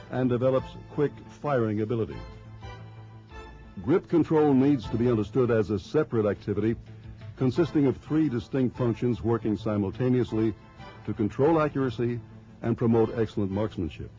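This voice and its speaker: A man reading scripted instructional narration for a training film in a measured, formal, explanatory way. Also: medium pitch, warm tone. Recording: dull lo-fi early 1990s film soundtrack.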